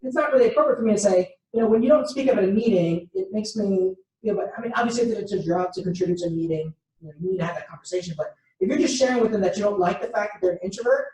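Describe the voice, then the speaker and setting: A woman's voice: medium pitch, racing, few pauses, warm tone. A woman leading a workshop, explaining patiently and acting out example dialogue for the class. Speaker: workshop instructor. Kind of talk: interactive teaching session. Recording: echoey room from far off.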